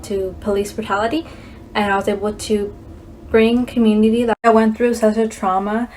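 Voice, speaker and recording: A woman's voice: medium pitch, a little mellow, slight background noise, low-quality recording. A teenage girl from California, speaking earnestly in a recorded video speech and restarting words now and then. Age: teens. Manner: earnest, slightly halting with restarts. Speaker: scholarship winner.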